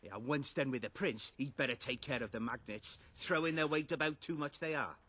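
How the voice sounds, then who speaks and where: Husky, resentful rustic peasant. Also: male voice, medium pitch, a little fast, sharp tone. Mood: grumbling. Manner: rustic, plain-spoken. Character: resentful, rough-spoken peasant man demanding justice. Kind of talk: video game dialogue acting.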